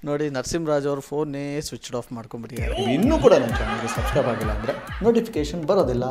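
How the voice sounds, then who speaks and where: A man's voice: medium pitch, lively intonation, very fast, music in the background. A man hosting comic prank calls in a teasing, cheeky way. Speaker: prank call radio jockey host. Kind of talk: comic prank call and channel presenting.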